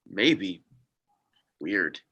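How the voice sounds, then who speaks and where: A man's voice: low-pitched, very animated, very slow, bright, forceful tone, highs cut off, heavily acted. A man playing a skeptical, practical young sidekick in a script read, with a wry, casual way of talking. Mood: puzzled, wry. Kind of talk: table read of a TV pilot script.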